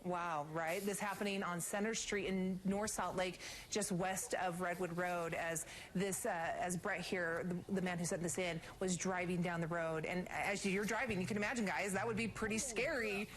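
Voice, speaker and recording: A woman's voice: lowish voice, fairly even intonation, moderate pace, hardly any pauses. A woman presenting live breaking news on TV, commenting on video in a partly ad-libbed way, on a noisy broadcast recording.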